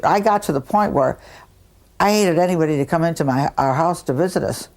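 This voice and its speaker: A woman's voice: deep voice, lively intonation, brisk, a little mellow. An older woman reminiscing casually in an interview.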